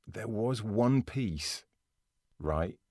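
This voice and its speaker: A man co-hosting a podcast, with a gravelly voice, talking casually or reading a story aloud. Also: fairly low pitch, lively intonation, extremely slow, darkish tone, dry recording, studio quality. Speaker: podcast co-host.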